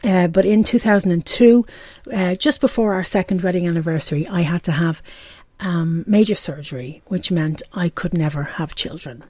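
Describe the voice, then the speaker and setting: A woman in her forties with a warm, mellow Dublin Irish accent talks openly into a close, dry mic. She speaks in a reflective podcast monologue. She pauses often with ums and restarts her sentences. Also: fairly low pitch, no echo. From Ireland. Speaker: podcast host.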